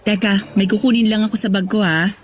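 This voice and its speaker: A woman's voice: medium pitch, steady tone, a little fast, a little hiss or hum, close to an everyday voice. An actress playing an affectionate, teasing young mother-to-be and girlfriend in a radio drama. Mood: coy, nervous. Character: affectionate woman, newly pregnant office worker and girlfriend. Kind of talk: Tagalog radio drama acting. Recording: music bed under dialogue.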